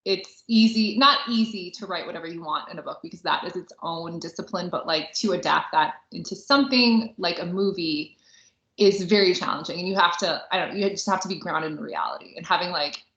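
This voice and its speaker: Woman on a podcast, bright punchy voice, super informal chat, echoey room, slightly dull audio. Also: lowish voice, fairly quick. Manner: informal, peppers talk with "like". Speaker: podcast co-host. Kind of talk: casual movie review chat. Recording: roomy echo, dull lo-fi audio.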